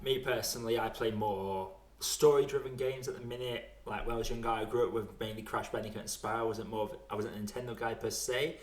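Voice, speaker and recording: A man hosting a casual podcast chat, wandering into tangents in a halting, self-deprecating way. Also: lowish voice, reverberant. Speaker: podcast host.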